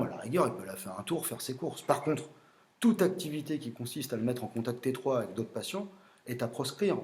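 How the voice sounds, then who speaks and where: A man's voice: medium pitch, a little mellow, reverberant. A man, a general practitioner with a French accent, explaining his medical views in a blunt, opinionated monologue with frequent fillers.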